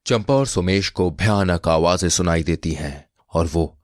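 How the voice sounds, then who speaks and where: A man narrating a scripted comic moral tale as an expressive storyteller. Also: sits low, measured pace, dry recording, clean studio sound.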